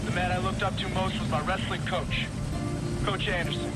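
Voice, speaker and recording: An actor playing an eager, loyal young American resistance pilot in a video game, folksy and earnest. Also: male voice, high-pitched, flat delivery, normal speed, over loud music, natural voice, rough recording. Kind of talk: video game cutscene acting.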